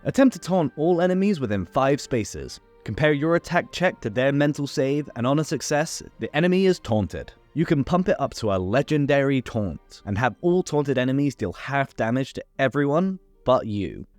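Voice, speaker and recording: A man's voice: mid-range voice, very animated, moderate pace, few pauses, darkish tone, faint music behind. A man hosting a tabletop game tutorial, narrating a scripted explainer step by step with informal asides.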